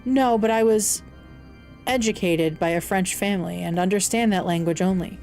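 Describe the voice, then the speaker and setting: A woman's voice: medium pitch, moderate pace, a little mellow, faint music behind, lightly acted. A woman voicing a lonely male outcast monster in an audiobook, eloquent, formal, earnest and anxious. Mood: anxious, forlorn. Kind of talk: audiobook character dialogue.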